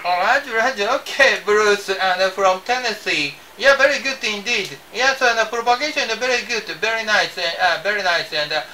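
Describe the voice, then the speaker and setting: An older man from Japan with a Japanese accent makes a ham radio contact, speaking haltingly, repeating words and spelling with the phonetic alphabet. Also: high voice, lively intonation, recorded from a distance, background noise. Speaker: amateur radio operator. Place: small indoor radio desk.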